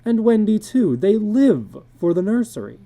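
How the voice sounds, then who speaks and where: A woman's voice: mid-range voice, big pitch swings, moderate pace, dark tone, pitched above the performer's own voice. A woman voicing a reassuring husband and father in a dramatic story reading, soothing and reasoning. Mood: placating, reluctant.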